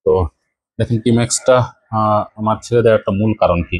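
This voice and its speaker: A man's voice: fairly low pitch, fairly even intonation, fast talker, no echo. A man with a Bangladeshi accent talking casually as a motorcycle vlogger reviewing gear. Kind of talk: casual product review and vlog talk.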